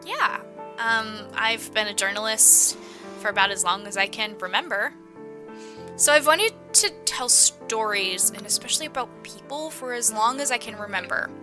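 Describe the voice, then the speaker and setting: Woman playing breezy high-voiced small-town reporter. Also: moderate pace, lightly acted. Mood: chatty, confiding. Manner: chatty, rambling storyteller. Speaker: actress in an improvised audio drama. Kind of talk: improvised audio drama dialogue. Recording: music bed behind the voice.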